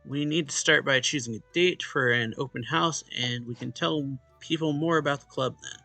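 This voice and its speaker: A man's voice: mid-range voice, normal speed, vocal fry, sharp tone. A man casually reading a visual novel's dialogue and first-person narration aloud as a let's play host. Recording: game music behind the voice. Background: game music.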